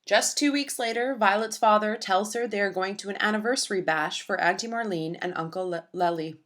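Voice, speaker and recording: A woman reading a children's picture book aloud in a gentle, expressive storytelling manner. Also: mid-range voice, brisk.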